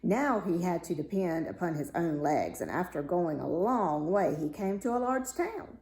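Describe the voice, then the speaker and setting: Female fairy tale narrator, mellow and unhurried, expressive delivery, roomy sound. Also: fairly low pitch. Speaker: story reader.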